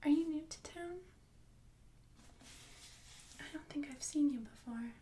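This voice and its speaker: A young woman voicing a kind, bookish young princess in a soft ASMR whisper, hesitant and gently reassuring. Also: sits high, a little slow, slight background noise, pitched above the performer's own voice. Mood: soft, friendly. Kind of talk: ASMR roleplay.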